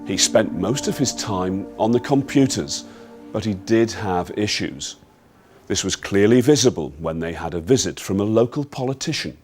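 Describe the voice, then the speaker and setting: An actor playing a measured investigator, narrating a case file in an official manner for a dramatised film. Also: male voice, fairly low pitch, lively intonation, darkish tone, soft background music, close to an everyday voice. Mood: grave, factual. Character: measured male investigator recounting a troubled youth's case.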